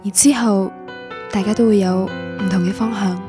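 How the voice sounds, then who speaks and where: An actress playing a young student looking back on lost love, narrating reflectively in Guangdong Cantonese in a radio drama. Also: female voice, medium pitch, steady tone, normal speed, lightly acted. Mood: wistful. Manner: wistful, reflective, literary. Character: wistful young woman student recalling lost first love. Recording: music bed under voice.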